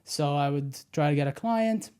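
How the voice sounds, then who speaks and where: A man's voice: fairly high pitch, lively intonation, normal speed, a little mellow, smooth. A man in his twenties, born in the Netherlands and raised in Egypt, talking as a podcast guest. He explains his story in a confident, direct way, step by step. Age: 20s. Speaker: podcast guest, personal branding strategist. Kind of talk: casual interview conversation.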